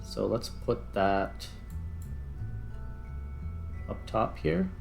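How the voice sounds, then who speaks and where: A man talks through a game casually, musing to himself as he goes. His delivery is slow and halting, with plenty of fry in the voice. Faint music plays behind him. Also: lowish voice, very animated, a little mellow. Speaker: gaming video host. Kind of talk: casual gameplay commentary, thinking aloud.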